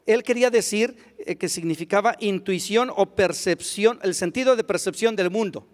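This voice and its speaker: Man, high voice, quick hesitant interpreting, echoing hall. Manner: quick, with hesitant fillers and restarts. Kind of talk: consecutive interpretation of a lecture. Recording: long hall reverb.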